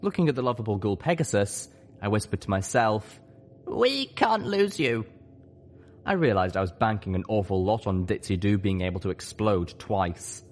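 A narrator reading a scripted story in the first person, with measured, expressive delivery. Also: sits low. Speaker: audiobook reader.

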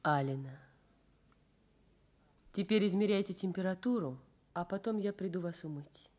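An actress as a soothing young nurse. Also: female voice, fairly low pitch, normal speed, a little mellow, natural voice. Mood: matter-of-fact. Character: gentle, brisk, caring hospital nurse, a young woman. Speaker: radio drama actress. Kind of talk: Soviet radio drama acting.

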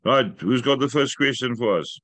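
A man's voice: medium pitch, moderate pace, fairly bright tone, dry recording. A middle-aged man whose first language is not English, hosting a weekly video call from home, joking and explaining at length. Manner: talkative, joking, instructive. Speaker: host of a weekly update call. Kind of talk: casual video call chat. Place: room at home.

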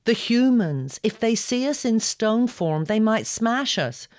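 A woman's voice: a little high, big pitch swings, slow, a little mellow, dry recording, voice pushed up. A woman voicing a proud, bossy young gargoyle girl with an imperious manner in an audio story. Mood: worried. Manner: imperious, scoffing.

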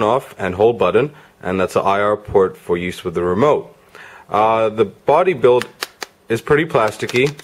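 Man, low-pitched voice, casual product reviewer, matter-of-fact, frank, demonstrating as he talks.

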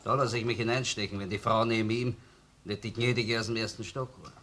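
A man's voice: lowish voice, little pitch movement, brisk, a bit bright. A man with a Viennese accent playing a doubting, persistent police detective in a radio crime drama, dry and colloquial. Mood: skeptical. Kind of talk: German-language radio crime drama acting.

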